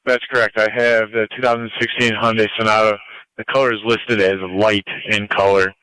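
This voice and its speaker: Man, two-way police radio, crisp forceful voice, dry sound, clipped procedure. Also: lowish voice, level tone, moderate pace, dry recording, poor audio.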